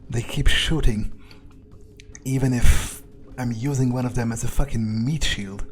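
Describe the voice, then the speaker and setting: A man's voice: mid-range voice, steady tone, a little slow, noisy background, close to an everyday voice. A man role-playing a cocky, ruthless vampire who muses unhurriedly and swears as he thinks aloud through a video game. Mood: cocky, amused. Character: cocky, ruthless male vampire, amused by violence. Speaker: gamer role-playing a character. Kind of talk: in-character gameplay commentary, thinking aloud. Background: game music and gunfire.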